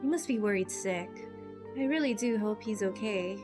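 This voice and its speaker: A woman doing amateur game voice acting as a bubbly, friendly, excitable young female fighter with a perky, informal manner. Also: mid-range voice, moderate pace, over music, close to an everyday voice. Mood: concerned. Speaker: amateur voice actor playing through a game.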